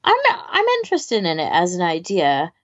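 A woman's voice: lowish voice, wildly swooping pitch, moderate pace, lo-fi sound. A woman podcast co-host chatting casually in a wry, offhand way. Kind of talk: casual chat.

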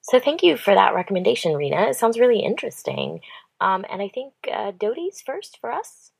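Creaky, husky woman hosting a podcast. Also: medium pitch, huge pitch swings, fairly quick. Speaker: podcast co-host. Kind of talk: casual hosting, introducing segments.

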